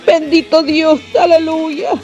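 A woman's voice: very high-pitched, level tone, takes it slowly, a little mellow. A woman preaching a fervent sermon, often breaking in with "mi amado hermano" and cries of praise. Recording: dull lo-fi sound with faint music behind.

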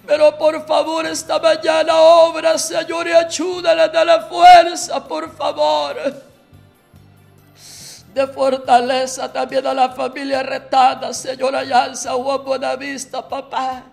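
A woman preacher prays over her listeners in a fervent, declamatory way, her words slow and drawn out. Her voice has a gravelly edge and stays on a narrow, even pitch. Soft music plays faintly behind her. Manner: fervent, declamatory, speaks in tongues. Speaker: preacher leading healing prayer. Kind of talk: fervent spoken prayer.

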